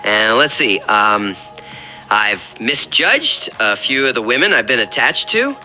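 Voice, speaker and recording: A man's voice: mid-range voice, very animated, measured pace, sharp tone, faint music behind, heavily acted, low-quality recording. A man playing an eager, fawning tradesman who calls a radio doctor, earnest, rambling and oversharing in a comic radio play.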